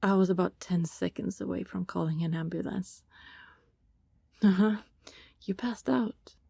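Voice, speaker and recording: A woman playing a friendly, secretive vampire neighbor who fusses caringly in a soft, breathy ASMR roleplay, often slipping into whispers. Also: lowish voice, measured pace, no room sound, studio quality, heavily acted. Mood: relieved, concerned. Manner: soft-spoken, breathy, often drops into whispers, with sighs and small laughs. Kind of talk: ASMR roleplay, scripted intimate acting.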